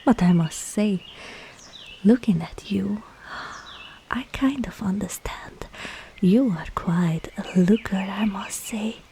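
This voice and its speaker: A woman playing a scheming, seductive witch in a whispered ASMR roleplay, breathy and teasing, with falsely soothing reassurance. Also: mid-range voice, takes it slowly, long pauses, dark tone, slight background noise.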